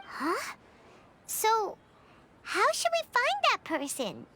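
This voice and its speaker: A woman voicing a small, excitable, talkative fairy companion in a video game, with a squeaky, childlike, earnest delivery. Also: slow pace, a little hiss or hum, heavily acted. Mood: puzzled. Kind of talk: English video game dub acting.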